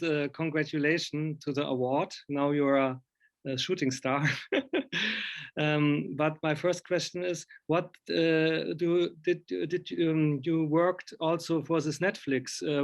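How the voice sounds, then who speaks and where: A middle-aged man, a journalist, asking interview questions on a video call and searching for his words. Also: a little high. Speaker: journalist and magazine editor. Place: indoor room, video call.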